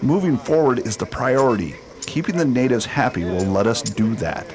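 A man's voice: medium pitch, expressive, normal speed, music in the background, close to an everyday voice. A man playing a pragmatic, authoritative leader of a space exploration team in a science fiction radio drama. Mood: brisk, businesslike.

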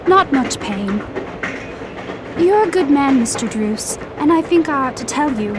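A woman's voice: high voice, animated, measured pace, background noise. A woman playing a sweet, trusting young bride-to-be in a radio drama, earnest and confiding. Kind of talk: amateur radio drama acting.

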